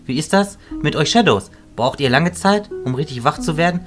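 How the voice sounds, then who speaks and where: A man playing a kindly, fatherly old wizard leader, speaking calmly and considerately in a fantasy audio drama. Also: a little high, normal speed, music in the background, natural voice. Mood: calm, friendly. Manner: calm, considerate.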